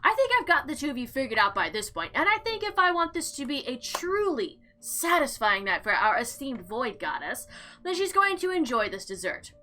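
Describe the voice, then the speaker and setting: A woman giving casual, chatty gameplay commentary and reading visual novel text aloud in an expressive, theatrical way. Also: high-pitched, moderate pace. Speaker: game player and narrator. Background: background music.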